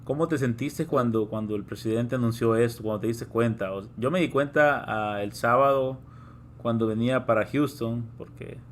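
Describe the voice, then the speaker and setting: A man's voice: medium pitch, moderate pace. Man, mid-range voice, live-stream host, casual and talkative, faint background noise.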